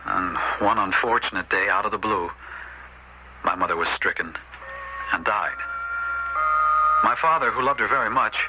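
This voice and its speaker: A man's voice: on the low side, normal speed, long pauses, heavy background noise, natural voice. An actor playing an earnest, dutiful American elder son who tells his story soberly in a radio drama. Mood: sober, confessional. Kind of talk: 1970s radio drama acting and narration. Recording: muffled old radio recording, music behind.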